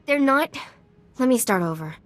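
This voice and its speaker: A woman's voice: high voice, lively intonation, moderate pace. A woman playing a frightened but earnest former rebel in a video game, hesitant and restarting her sentences. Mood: nervous, earnest.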